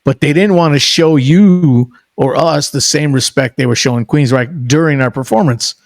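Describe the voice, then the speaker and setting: A man's voice: on the high side, moderate pace, no echo, clean studio sound. Chummy man in his sixties hosting. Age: 60s. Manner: chummy, eager, calls the guest bro. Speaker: podcast host. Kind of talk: casual interview chat.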